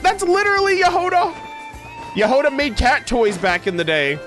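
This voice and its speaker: A male streamer with a high voice that swings up and down freely. He talks casually and playfully to his chat in gamer slang, with music playing behind him. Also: expressive, moderate pace, fairly bright tone. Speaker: streamer reacting to a video game. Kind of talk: casual gaming livestream commentary, reading dialogue aloud.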